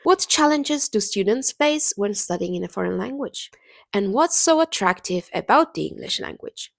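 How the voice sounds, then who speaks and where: A woman's voice: medium pitch, expressive, moderate pace, creaky. A woman hosting a podcast, reading a scripted introduction with a lift on key phrases. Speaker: podcast host.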